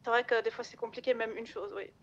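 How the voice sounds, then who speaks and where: A woman acts out a caring, slightly scatterbrained woman in an online roleplay game. Her voice is fairly high, heard through dull voice-chat sound. She talks informally, restarting sentences and poking fun at herself. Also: flat delivery, quick pace, a bit bright. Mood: self-deprecating, playful. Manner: informal, hesitant with frequent restarts. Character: chatty, caring, self-deprecating woman, playfully scatterbrained. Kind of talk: improvised in-character roleplay chat.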